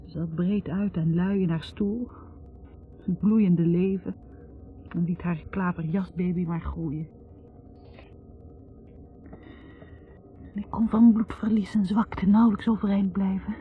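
An actress playing a wounded, bitter young academic woman confessing in a halting, accusing way in a 1960s radio drama. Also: mid-range voice, steady tone, faint music behind, natural voice. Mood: bitter, weary. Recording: tinny narrow-band 1960s radio recording.

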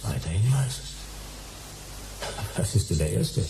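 A man's voice: lowish voice, expressive, takes it slowly, gravelly, dark tone, slight background noise, exaggerated voice, low-quality recording. A man playing a terse, dry, stubborn private detective in a German sci-fi radio play. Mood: pondering.

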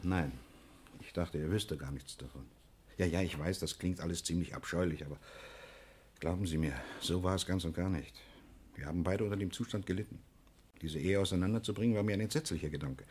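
Low, husky, courteous pharmacy owner. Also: male voice, brisk, darkish tone. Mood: troubled, earnest. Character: courteous male pharmacy owner, shaken but protesting. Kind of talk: 1960s German radio crime drama acting.

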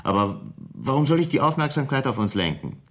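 A man's voice: mid-range voice, big pitch swings, brisk. An actor playing a cold, greedy, imperious businessman in a 1970s German radio crime play. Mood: dismissive. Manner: imperious, curt, commanding. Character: cold, greedy, domineering businessman running a drug scheme.

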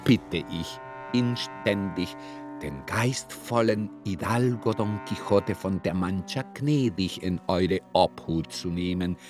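A man's voice: sits low, takes it slowly. A man reading formally from a script as announcer of a radio drama, over guitar music. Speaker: announcer and reader. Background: plucked guitar music.

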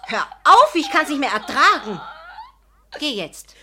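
A woman's voice: sits high, big pitch swings, a little slow, noisy background. A woman playing a hot-tempered, scornful young woman in a radio drama, scolding sharply and impatiently. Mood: furious scorn. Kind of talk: literary radio drama acting.

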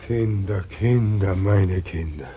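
A man's voice: low-pitched, slow, husky, muffled recording, full character voice. A man in a German radio play, playing God as a helpless, plaintive old man who laments. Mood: despairing. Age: older.

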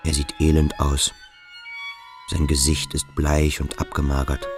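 A cold, aloof boarding-school pupil speaks in a very deep voice. He is brooding, dismissive and detached, in a radio play with light music underneath. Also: monotone, a little slow. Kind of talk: radio drama acting. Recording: music behind the voice.

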